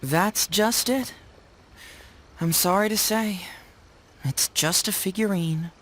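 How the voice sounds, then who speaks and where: A man voicing a witty, smooth-talking young detective in a video game, articulate and theatrical. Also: sits high, takes it slowly, a bit bright, a little hiss or hum. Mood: confiding.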